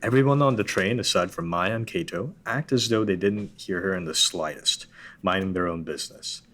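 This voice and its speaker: A man reading the stage directions and narration of a script at a table read in a steady, matter-of-fact way. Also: deep voice, normal speed.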